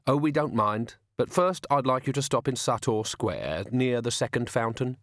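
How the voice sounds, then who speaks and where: A man voicing a smiling young male villain who speaks softly and genially with quiet menace. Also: mid-range voice, animated, moderate pace, dry recording, clean studio sound, natural voice. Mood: silkily menacing. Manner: soft, genial and quietly threatening.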